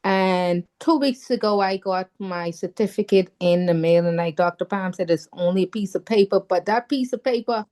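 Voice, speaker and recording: A woman who grew up in the Caribbean and learned English later in life. She talks with animated, emphatic storytelling and sits at an even middle pitch. She shares her news on a casual group call. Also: normal speed, few pauses. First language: not English. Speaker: newly licensed counselor. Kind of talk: casual chat on a video call.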